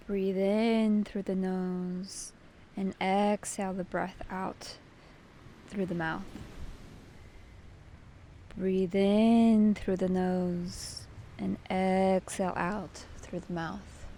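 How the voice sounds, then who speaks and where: A woman leading a guided meditation with gentle, soothing, unhurried instruction. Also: medium pitch, level tone, slight background noise.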